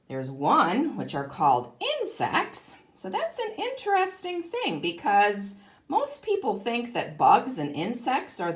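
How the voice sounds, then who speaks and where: A woman speaks as a kindergarten teacher reading aloud to young children. Her pitch swings widely and expressively while her pace stays slow. She phrases things simply and deliberately, stressing key words and putting questions to the listener. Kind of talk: read-aloud lesson for young children, explaining and asking questions.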